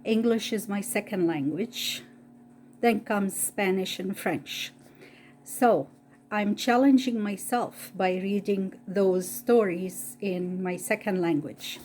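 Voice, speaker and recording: Slow, soft-toned Egyptian woman reading aloud. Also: medium pitch, a little hiss or hum. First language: Arabic. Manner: careful, halting second-language reading. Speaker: storyteller reading Bible stories. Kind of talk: slow read-aloud storytelling.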